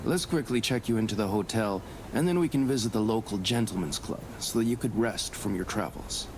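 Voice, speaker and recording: An actor playing a deferential, earnest local police investigator in a period detective visual novel. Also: male voice, fairly low pitch, brisk, noisy background, lightly acted. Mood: respectful. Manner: respectful, earnest. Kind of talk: scripted visual novel voice acting.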